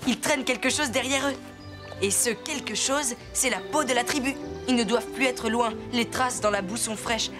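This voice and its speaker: A woman's voice: a little high, normal speed, music in the background, natural voice. A woman voicing a brave, kind and determined young boy in a French cartoon. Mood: curious, focused.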